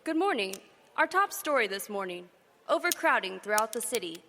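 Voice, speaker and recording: An actress playing a brisk woman radio news reporter in an old-time radio drama, reading the news in a crisp newsreader manner. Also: a little high, sing-song, pushed and bright, big-room sound, slight background noise, exaggerated voice. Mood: businesslike. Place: stage before a live audience.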